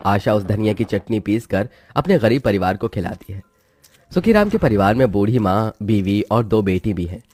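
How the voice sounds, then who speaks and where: A man's voice: fairly low pitch, animated, normal speed, dark tone, no room sound. A man reading a scripted moral story as its narrator, with music behind him.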